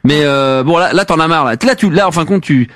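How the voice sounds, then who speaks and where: A man's voice: a little high, a little fast, fairly bright tone, dry recording, studio quality. A man hosting a casual free-form radio chat, joking and teasing in slangy, crude banter. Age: adult over 25. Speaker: radio host.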